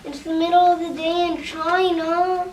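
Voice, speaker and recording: A young girl playing an excitable, stubborn, pleading pigeon in a school reader's theater. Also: very high-pitched, normal speed. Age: child. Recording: roomy, distant mic with some noise.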